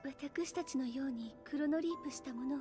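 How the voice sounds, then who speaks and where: A refined young noblewoman from an anime game, played by a woman, with a high voice. Her speech is composed, polite and genteel, set over soft background music. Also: fairly quick, natural voice. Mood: gentle, explanatory. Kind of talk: Japanese anime game voice acting. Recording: music behind the voice.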